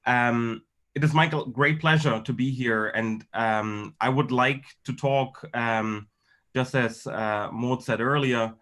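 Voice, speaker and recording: A man from Germany speaking as a guest lecturer on a video call, with formal wording and a halting, hesitant delivery. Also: medium pitch, fairly bright tone. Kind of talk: semi-formal online lecture.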